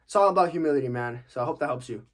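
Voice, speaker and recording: Higher-voiced young man, casual and slangy. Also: very fast. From United States, Texas. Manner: laid-back, slangy, profane, joking. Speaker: podcast host, active-duty military. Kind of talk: casual Q&A podcast chat, answering listener questions.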